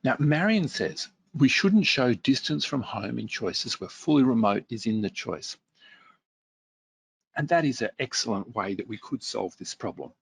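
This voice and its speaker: Gravelly, husky man teaching. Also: medium pitch, expressive, unhurried, dry recording, poor audio. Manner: reads out viewer comments and responds conversationally with fillers. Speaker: webinar presenter. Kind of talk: workshop webinar, answering viewer questions.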